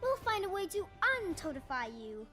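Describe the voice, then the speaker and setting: A woman's voice: very high voice, very animated, normal speed. A woman voicing an imaginative, playful seven-year-old boy adventurer in a video game, earnest and whimsical. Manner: earnest, whimsical, childlike storytelling. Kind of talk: video game character voice lines. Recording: game clips with music behind.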